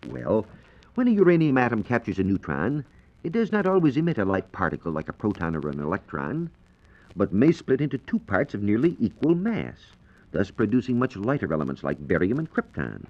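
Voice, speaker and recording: An American man explains science in a formal, scripted 1940s radio manner. His voice is fairly low, with lively intonation. The vintage recording is dull and lo-fi. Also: a little fast. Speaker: science reporter. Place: radio studio.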